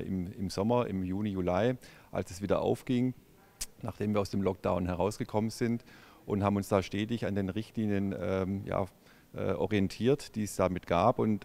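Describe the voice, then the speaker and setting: A middle-aged man with a low, gravelly voice, speaking as a company executive in an interview. He talks haltingly, full of fillers and restarts, into a handheld mic in a large indoor showroom. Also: a little mellow. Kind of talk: on-camera interview. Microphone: handheld microphone.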